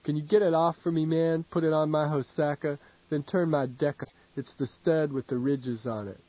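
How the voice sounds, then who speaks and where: A man voicing a tense young male hacker, talking clipped, blunt and fast. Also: on the high side, fairly even intonation, no room sound, lo-fi sound, lightly acted. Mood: urgent. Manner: clipped, quick, urgent.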